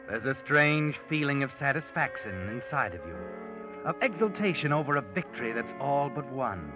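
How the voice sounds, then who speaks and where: Male actor as a sly, taunting radio-drama narrator, lively voice, dull old recording, music under. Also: mid-range voice, close to an everyday voice. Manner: insinuating second-person narration.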